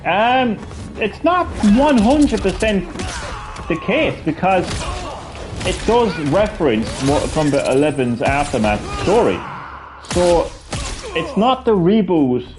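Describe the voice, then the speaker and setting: A man's voice: high voice, big pitch swings, takes it slowly. A man, chatting casually while he plays a fighting game, rambling with lots of fillers and restarts. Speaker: gaming streamer. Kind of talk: casual gameplay commentary.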